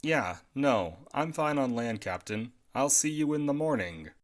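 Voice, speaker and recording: A man's voice: mid-range voice, lively intonation, unhurried, close to an everyday voice. An actor in an audio drama playing a reluctant, polite man, hesitant and resigned. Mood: uneasy. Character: reluctant, polite night guard wanting to leave.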